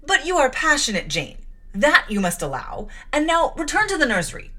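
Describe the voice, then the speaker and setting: A woman's voice: high voice, big pitch swings, normal speed, bright, forceful tone, voice pushed up, exaggerated voice. Female reader voicing a stern, condescending aunt, reproving. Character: stern cold aunt, middle-aged woman, patronizing.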